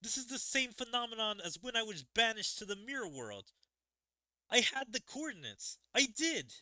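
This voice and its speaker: Man playing a villainous anime witch, frustrated, high-pitched, sharp, halting exclamations, game dialogue. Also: no echo, studio quality, exaggerated voice. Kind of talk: dramatic read-aloud of game dialogue.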